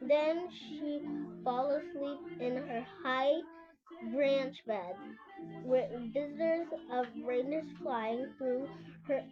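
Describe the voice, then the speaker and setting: Young girl reading haltingly, very slow pace. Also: high-pitched. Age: child. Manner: stumbles over words and sounds them out haltingly. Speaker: presenter reading a picture book aloud. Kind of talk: halting read-aloud of a children's picture book with casual asides. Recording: muffled lo-fi home recording with prominent music. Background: music.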